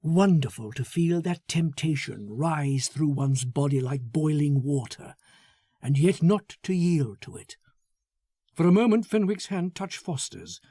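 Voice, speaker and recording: A man narrating a literary story as an audiobook, dramatic, deliberate and intense in his delivery. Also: fairly high pitch, animated, warm tone, husky, no echo, studio quality.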